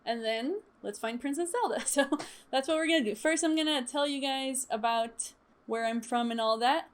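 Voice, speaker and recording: A young woman from Chile chatting casually to her stream viewers, lively and laughing often, with many fillers. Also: sits high, normal speed. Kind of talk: casual storytime chat.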